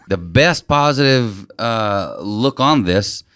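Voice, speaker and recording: Deep-voiced joking man, lively pitch. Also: extremely slow, clear, resonant tone, no room sound, raised voice, studio quality. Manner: joking, sarcastic, swears freely. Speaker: podcast co-host. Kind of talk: casual reaction chat.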